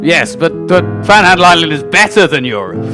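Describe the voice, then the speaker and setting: Man acting defensive official, improvised radio comedy, deadpan, hesitant, very lively intonation, music behind. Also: fairly high pitch, a little fast. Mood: smug, evasive. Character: officious, defensive, youthful small train guard; later large knight. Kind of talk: improvised comedy radio play before audience.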